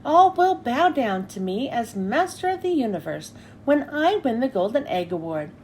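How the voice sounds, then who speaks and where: A woman's voice: on the high side, very animated, moderate pace, a little mellow, slight background noise. A woman voicing a boastful, scheming tiny male villain who gloats grandly. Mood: gloating.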